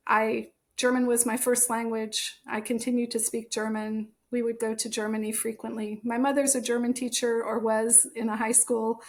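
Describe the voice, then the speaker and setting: High-voiced American woman with some fry. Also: little pitch movement, moderate pace, hardly any pauses. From United States. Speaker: author and translator, interview guest. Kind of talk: conversational podcast interview.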